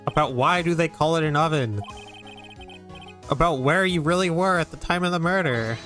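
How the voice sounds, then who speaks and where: A man's voice: on the high side, moderate pace, fairly bright tone, natural voice. Man acting a determined defense lawyer, music behind. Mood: accusing, determined. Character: earnest, determined young male defense lawyer pressing a witness. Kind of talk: amateur fan dub of a courtroom video game.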